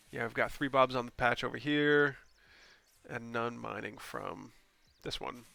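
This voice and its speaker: A man casts a strategy game replay alone at his desk mic, his voice bright but stuffy and scratchy from a cold. He rambles casually with plenty of ums and self-corrections, then jumps up in pitch when a play surprises him. His pitch sits in a middle range for a man. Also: slow pace, creaky, no echo. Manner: bursts of excitement at plays. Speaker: game caster. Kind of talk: solo replay commentary.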